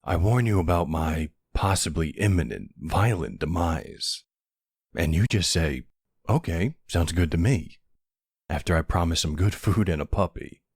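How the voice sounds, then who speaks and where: Mafia boss love interest, played by a man for ASMR roleplay: playful swagger, lively intonation, very close dry sound. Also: low voice, unhurried, no echo, exaggerated voice. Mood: teasing, fond. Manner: playful, teasing, smooth-talking with tough-guy swagger. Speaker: voice actor in an audio roleplay. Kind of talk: intimate ASMR boyfriend roleplay acting.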